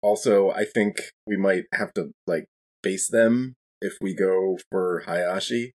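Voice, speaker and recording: A man with a husky voice, chatting casually as a player in a tabletop game. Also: deep voice, slow. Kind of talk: casual in-character tabletop discussion.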